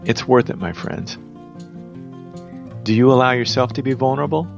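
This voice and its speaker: Gravelly male podcast host, dull recording. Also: mid-range voice, steady tone, moderate pace, soft background music. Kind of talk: reflective scripted monologue and interview hosting.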